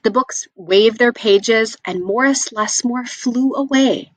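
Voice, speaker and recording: A woman reading a picture book aloud to children, with expressive, deliberate phrasing. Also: a little high, rough recording. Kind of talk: read-aloud storytelling for children.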